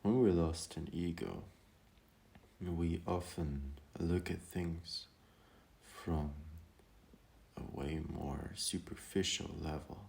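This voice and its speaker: A man guiding a meditation in a calm, gentle manner, speaking hesitantly with long pauses. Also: low-pitched, creaky. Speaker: meditation guide. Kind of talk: guided meditation talk.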